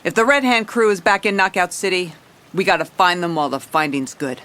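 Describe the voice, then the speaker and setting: An actress playing a jaded, wry police commissioner who narrates in hardboiled detective style in an audio drama. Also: female voice, on the low side, brisk, fairly bright tone, lightly acted. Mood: determined. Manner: wry, world-weary. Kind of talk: scripted noir detective narration with dialogue.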